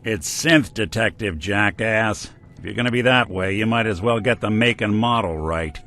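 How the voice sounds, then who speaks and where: A man's voice: on the low side, moderate pace, bright, forceful tone, soft background music. An actor playing a weary male synth private detective with a dry, laid-back wit, in video game dialogue. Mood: irritated.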